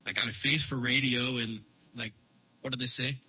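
A generated man's voice speaking slowly with a joking tone, played as a demo clip. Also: mid-range voice, steady tone, pushed and bright, breathy edge, heavily acted, rough recording.

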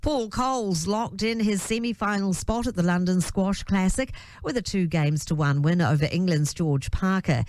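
Female newsreader, New Zealand accent, medium pitch, scripted bulletin delivery, dry studio sound. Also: moderate pace, darkish tone, dry recording. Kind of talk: scripted radio news bulletin.

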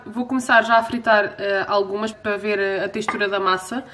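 A woman's voice: mid-range voice, fairly even intonation, fairly quick. A young woman in her twenties with a European Portuguese accent, chatting casually while filming a cooking vlog at home. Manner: chatty, asks her mother questions. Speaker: vlogger. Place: home kitchen, indoors. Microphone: handheld camera close up. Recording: home kitchen with audible background noise.

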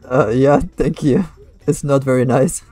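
A young man in his twenties streams games and chats casually. His voice is mellow and dry, close to the microphone. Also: mid-range voice, measured pace, no room sound, soft background music. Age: 20s. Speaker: game streamer. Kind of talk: casual chat. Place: bedroom streaming setup. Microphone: close streaming mic, headset on.

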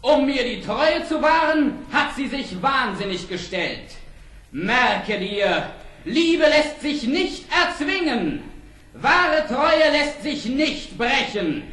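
High-voiced, swooping young storybook prince. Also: male voice, sing-song, slow pace, pushed and bright, heavily acted, low-quality recording. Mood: proud, declaiming. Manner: declamatory, theatrical. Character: ardent, bold young Persian prince, cunning and proud. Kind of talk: German audio fairy-tale drama acting.

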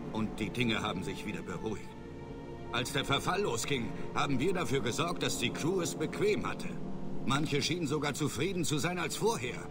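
A male actor plays a disgraced middle-aged starship captain in a video game. He is formal and measured, forever excusing himself and dodging blame, in a mid-pitched male voice over very loud music. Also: natural voice. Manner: formal, measured, self-justifying. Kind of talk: video game voice acting, German dub.

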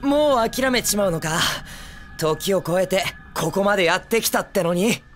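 A man voicing a brash, excitable teenage boy hero in an anime film, with cocky, eager, boyish speech. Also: high-pitched, moderate pace, soft background music, lightly acted. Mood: challenging, encouraging. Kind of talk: Japanese anime film acting.

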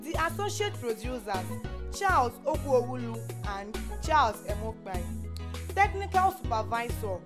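A Nigerian woman announces credits from a script. Her fairly high voice moves in animated swings, with clear music playing behind it.

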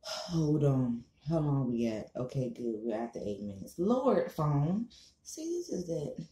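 A woman's voice: low voice, big pitch swings, a little slow, warm tone. An American woman in her thirties giving a casual, blunt, emphatic and repetitive rant to camera, swearing freely. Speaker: online commentary vlogger. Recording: echoey small room.

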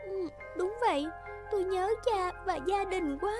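Woman acting a high-pitched, fearful fairy-tale maiden. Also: unhurried, over music. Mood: sad, wistful. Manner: trembling, stammering, exclaiming. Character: frightened young woman held by a beast. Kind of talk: animated fairy-tale dubbing.

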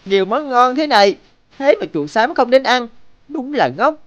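A woman's voice: high voice, lively intonation, moderate pace, no echo. A woman voicing a greedy, overconfident mouse in a cartoon fable, brash and dismissive. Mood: smug. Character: greedy, overconfident yellow mouse, impatient and scornful.

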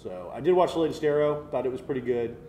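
A man's voice: medium pitch, animated, fast, warm tone, dull audio. Opinionated American man in a roomy space. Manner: opinionated, jokey. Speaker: co-host of a geek culture show. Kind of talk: casual opinionated panel chat. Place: indoor room set, seated around a table. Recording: roomy sound, faint noise.

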